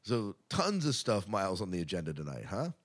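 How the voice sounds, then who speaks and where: A man's voice: low voice, wildly swooping pitch, fairly quick. A man hosting a podcast in a chatty, casual way, part scripted and part off the cuff, with upbeat announcing. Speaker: podcast host.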